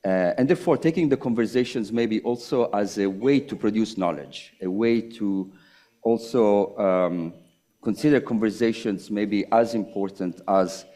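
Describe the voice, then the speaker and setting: Panel speaker: middle-aged man, slightly low pitch, halting and thoughtful, lots of pauses. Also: mellow. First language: Italian. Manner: halting, hesitant, with many pauses and restarts. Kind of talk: reflective public conversation.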